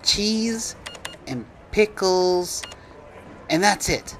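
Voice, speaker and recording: A man's voice: high-pitched, lively intonation, extremely slow, fairly bright tone, a little hiss or hum, voice pushed up, heavily acted. A man voicing a timid, earnest little boy in a storybook reading, with a hesitant, childlike manner. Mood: earnest, polite.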